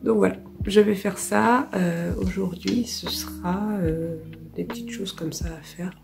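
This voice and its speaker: A woman in her thirties from France, hoarse with a cold, talking softly and casually in her art studio vlog, with many fillers. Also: fairly low pitch, steady tone, a little slow. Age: 30s. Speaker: illustrator. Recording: music playing behind.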